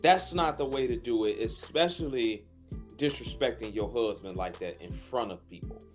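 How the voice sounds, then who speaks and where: A man's voice: medium pitch, normal speed, faint music behind. A man co-hosting a casual podcast, stating his opinions firmly and bluntly. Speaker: podcast co-host.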